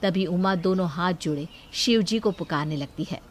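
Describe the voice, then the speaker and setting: A woman's voice: fairly low pitch, normal speed. A woman storyteller reading a scripted moral story as narrator.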